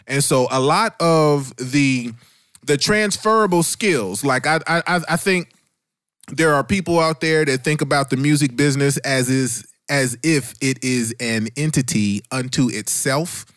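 A man's voice: medium pitch, measured pace, a bit bright, dry recording. Casual male radio host, dry close sound. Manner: informal, slangy, chummy address. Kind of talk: casual conversational interview.

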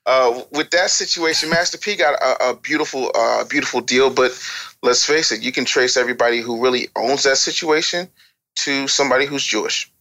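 A man's voice: mid-range voice, a little fast, sharp tone, rough recording. A man giving candid advice and telling anecdotes as a guest expert, speaking bluntly and emphatically with casual swearing. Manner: blunt, emphatic, profane, lecturing. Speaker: guest expert on music business and promotion.

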